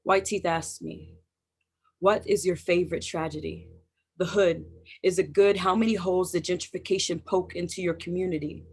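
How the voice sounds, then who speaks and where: A poet reciting spoken word in a deliberate, emphatic way over a video call. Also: mid-range voice, fairly even intonation, a little mellow, breathy edge. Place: indoors, remote video call.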